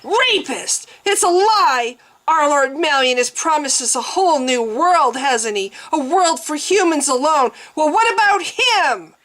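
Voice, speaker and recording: A woman's voice: expressive, unhurried, hardly any pauses, a bit bright. Woman acting as a furious young priestess, screaming. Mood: enraged. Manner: shrill screaming outbursts.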